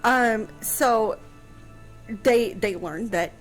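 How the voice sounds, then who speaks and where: A woman with a fairly high voice explains history in a casual, conversational way. Her delivery is halting and searching, with music behind her. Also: poor audio. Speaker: historian guest answering questions on a radio show.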